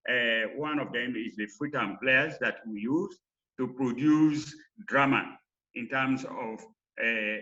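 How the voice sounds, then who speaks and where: A man presenting research in a lecture, with halting, emphatic phrasing. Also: medium pitch, bright, forceful tone. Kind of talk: academic lecture. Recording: slightly dull online call audio.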